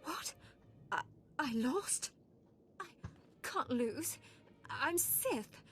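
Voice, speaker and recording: A woman's voice: high-pitched, sing-song, very slow, a bit bright, slight background noise, exaggerated voice. An actress playing a proud, defeated young Sith noblewoman in a video game, disbelieving and desperate. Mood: shocked, bitter. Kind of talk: dramatic game voice acting.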